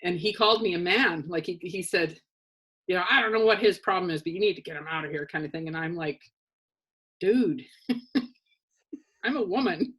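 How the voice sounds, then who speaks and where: Low-voiced woman telling stories. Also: normal speed, pauses often, fairly bright tone, breathy edge. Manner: chatty storyteller, dry humor, laughs often. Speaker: co-host. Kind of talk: casual chat on a live show.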